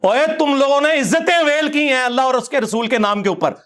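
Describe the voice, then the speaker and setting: A man's voice: extremely high pitch, a bit bright. A man with a Pakistani Punjabi accent giving an informal religious lecture in an emphatic, argumentative, rhetorical way.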